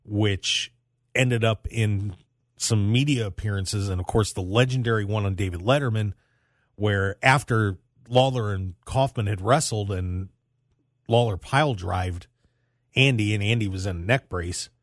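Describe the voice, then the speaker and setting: An American man hosting a sports talk radio show, telling stories in an animated way with emphatic repetition. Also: on the low side, a little slow, no room sound, clean studio sound.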